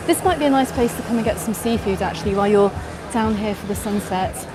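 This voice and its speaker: A woman's voice: medium pitch, quick pace. A middle-aged English woman with an English accent, giving a live walking tour and answering viewers' questions as she goes. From England. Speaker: travel vlogger. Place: outdoors on a busy beach promenade at dusk. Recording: outdoor live stream with crowd noise. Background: crowd chatter and passing traffic.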